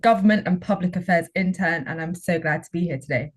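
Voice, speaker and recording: A woman's voice: on the low side, fairly quick. A young woman, a final-year student, talking openly and upbeat on a panel. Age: young adult. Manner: open, upbeat, reflective. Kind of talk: panel discussion.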